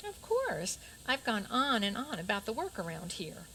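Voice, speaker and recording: A woman's voice: mid-range voice, big pitch swings, normal speed, bright, forceful tone, slight background noise, low-quality recording. A woman playing an anxious, earnest fairy-tale princess in a community radio play, fretful and hesitant. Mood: defensive. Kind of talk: community radio theater acting.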